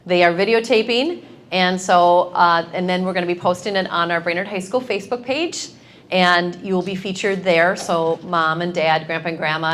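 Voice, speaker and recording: A woman's voice: on the low side, fairly bright tone. A middle-aged woman, a school principal, speaking to a student assembly in a direct, upbeat way on a lapel mic. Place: large school cafeteria with students.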